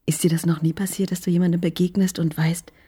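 An actress playing a flirtatious married woman in a radio crime drama, coaxing and teasing, at times dropping into a breathy whisper. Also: lowish voice, level tone, fast talker, dark tone, dry recording, studio quality. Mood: seductive.

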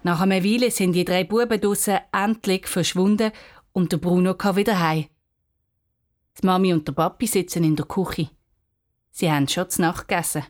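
A woman's voice: on the low side, fairly even intonation, normal speed, a little mellow. A woman with a Swiss accent narrating a scripted children's story. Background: music.

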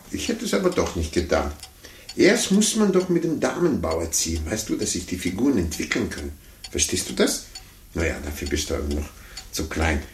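An actor playing a fussy, condescending older gentleman in a 1950s radio play, muttering to himself and talking down patronizingly. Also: fairly low pitch. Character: condescending, fussy, self-important older gentleman chess amateur. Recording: roomy, noisy 1950s radio recording.

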